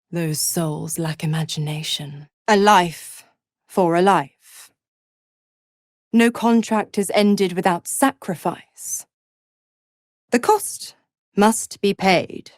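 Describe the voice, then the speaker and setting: A woman's voice: on the low side, very slow, long pauses, no echo, studio quality. An actress playing a seductive, scheming female devil in a video game, mocking and purring with condescending endearments. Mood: sly, teasing, then menacing, gloating. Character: seductive, scheming, gloating female devil who makes pacts. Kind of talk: theatrical game character dialogue.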